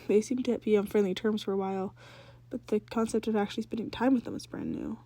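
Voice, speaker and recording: A woman's voice: medium pitch, fairly even intonation, fast talker, warm tone, dry recording. A woman reading fan fiction aloud as a story narrator, sometimes stumbling and rereading phrases.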